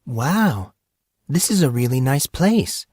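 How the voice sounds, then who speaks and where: A man's voice: mid-range voice, sing-song, slow, a little mellow, slightly rough, no room sound, clean studio sound, voice pushed up, heavily acted. A man voicing an exasperated, cool teenage girl with a curt, teasing manner in an audiobook. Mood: surprised, teasing. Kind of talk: audiobook character voice.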